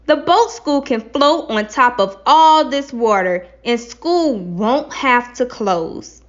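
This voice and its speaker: High, lilting, earnest schoolchild, read by a woman. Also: lively intonation, slow. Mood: eager. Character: earnest schoolchildren reciting lines in a classroom play. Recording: slightly dull lo-fi recording.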